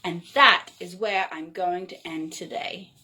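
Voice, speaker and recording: A woman reading a children's story aloud in an expressive, whimsical way, with chatty, playful asides. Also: fairly low pitch, measured pace, husky, poor audio. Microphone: phone.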